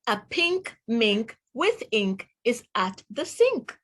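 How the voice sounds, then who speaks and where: Slow, high-voiced woman reading to children. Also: very animated, a bit bright. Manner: deliberate word-by-word enunciation, asks the listener questions. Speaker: teacher reading aloud to young children. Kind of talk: read-aloud phonics lesson for children.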